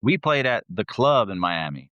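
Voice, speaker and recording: A man's voice: fairly low pitch, sing-song, moderate pace, fairly bright tone, dry recording, dull audio. A man who sings in a band, chatting as a guest and telling tour stories in a laid-back, slangy, sweary way. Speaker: band vocalist, interview guest.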